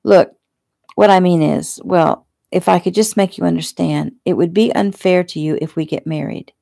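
A woman narrator plays a weary young man in a romance audiobook. The voice is low and mellow with a creaky edge, earnest, halting and placating. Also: no echo, studio quality.

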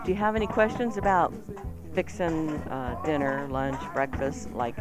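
A woman's voice: sits low, animated, moderate pace. A woman co-hosting a radio show, reading ads and bantering in a radio studio. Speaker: radio co-host and ad reader. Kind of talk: lively radio ad read and banter. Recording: radio broadcast with music behind. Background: music.

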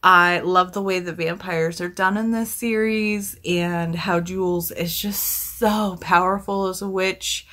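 A woman's voice: fairly low pitch, steady tone, unhurried, few pauses, sharp tone. A woman in her thirties chatting casually and effusively as a book vlogger, her throat a little scratchy from talking. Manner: enthusiastic, effusive. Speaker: book reviewer, video host. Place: small indoor room at home with bookshelf.

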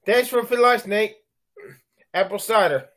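Middle-aged American man, high-pitched, livestreaming from his bedroom, chatty and rambling. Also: a little slow, a bit bright. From United States. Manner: chatty, thanks viewers for likes between remarks. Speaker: livestream host. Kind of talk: casual live broadcast chat. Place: bedroom at night, indoors.

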